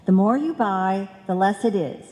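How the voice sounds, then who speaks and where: A woman's voice: mid-range voice, normal speed, slight background noise, lightly acted. A woman playing a scatterbrained, earnest wife who muddles her words in a live old-time radio comedy. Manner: muddled, malapropism-prone, animated. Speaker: radio play actor. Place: live stage in a hall. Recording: reverberant hall stage recording.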